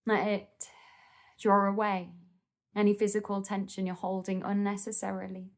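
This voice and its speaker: A woman leading a guided meditation in a gentle, soothing, unhurried manner with pauses between phrases. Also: mid-range voice, flat delivery.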